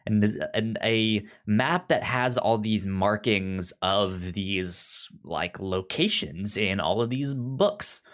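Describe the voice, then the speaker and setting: Slow-paced, halting male podcast co-host. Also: fairly low pitch, fairly bright tone. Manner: halting, repeats and stumbles over word starts. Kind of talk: casual book discussion.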